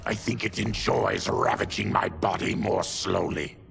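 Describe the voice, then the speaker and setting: Gravelly, weary plague-ravaged mutant. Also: male voice, sits low, big pitch swings, a bit bright, faint music behind, heavily acted. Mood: grieving. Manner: slow, somber, halting. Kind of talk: dramatic video game acting.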